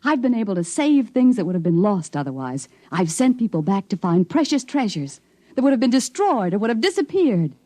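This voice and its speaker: Coolly commanding lady scientist, articulate and businesslike; medium pitch, little room sound, 1950s radio thriller. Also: very animated, brisk, mellow, no room sound. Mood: matter-of-fact, persuasive. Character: cool, ambitious woman scientist, persuasive and businesslike. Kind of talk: 1950s radio drama acting.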